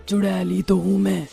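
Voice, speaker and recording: Guy as nasty cartoon witch, high creaky snarl, brazen, music behind. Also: mellow, heavily acted. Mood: brazen glee. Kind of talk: Hindi horror cartoon acting.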